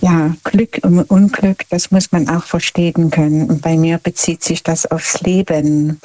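A woman's voice: low voice, flat delivery, unhurried, dark tone, muffled recording. A woman from Saxony, calling in to a radio show and chatting with occasional giggles. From Saxony, Germany. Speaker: caller. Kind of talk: casual phone chat.

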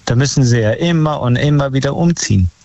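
A man's voice: medium pitch, lively intonation, quick pace, darkish tone, dry recording. A man calling a talk show by phone, chatting in a blunt, slangy, opinionated way. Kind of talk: casual, slangy phone chat. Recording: muffled, dry phone call audio.

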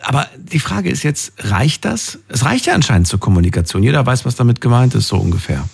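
A man hosting a call-in conversation, husky, probing and paraphrasing in a casual way. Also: mid-range voice, very animated, fairly quick. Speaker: call-in show host.

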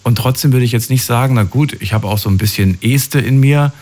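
A man's voice: mid-range voice, a little fast, darkish tone. A man interviewing a guest, asking probing follow-up questions in a conversational way.

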